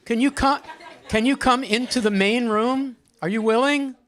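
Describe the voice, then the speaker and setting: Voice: medium pitch, moderate pace, fairly bright tone. An informal host with a mid-range voice leads a group at a live event. The delivery is conversational and teasing, addressing people directly. The sound is close and dry, with little room reverberation. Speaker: host leading a group poem discussion. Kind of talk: informal live moderating, with some poetry reading. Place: indoor room at a live gathering.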